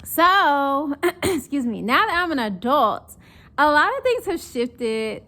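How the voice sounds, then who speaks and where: A woman's voice: sits high, takes it slowly. A young woman from North Carolina with a Southern accent mixed with northern speech, chatting casually and animatedly to camera as a vlogger. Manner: chatty, animated, acts out mocking quotes.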